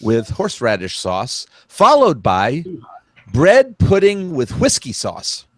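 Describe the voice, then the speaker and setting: A man's voice: medium pitch, wildly swooping pitch, takes it slowly, dry recording. A man in his late sixties, a weather forecaster, chatting casually and poking fun at himself. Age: 60s. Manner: self-mocking, chuckling. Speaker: retired weather service forecaster. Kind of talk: casual panel chat.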